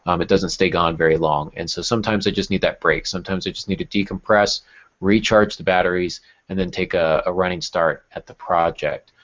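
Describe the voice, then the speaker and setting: A man's voice: low-pitched, fairly even intonation, a little fast, fairly bright tone. A man hosting a podcast, chatting casually and explaining things in a conversational way. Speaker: podcast host. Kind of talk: casual podcast chat about writing. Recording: dull, lo-fi podcast audio.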